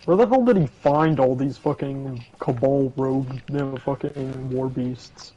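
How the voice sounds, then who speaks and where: A man's voice: mid-range voice, measured pace, warm tone, breathy edge. A young man in casual, sweary, joking banter while playing a game over voice chat. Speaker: co-op gaming partner. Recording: dull voice chat audio.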